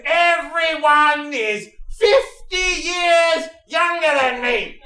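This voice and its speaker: Slow, high, grumpy old puppet. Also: lively intonation, sharp tone, roomy sound, recorded from a distance, exaggerated voice. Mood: grumpy complaining. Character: grumpy geriatric puppet Barry, weary and homesick. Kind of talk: improvised puppet comedy letter.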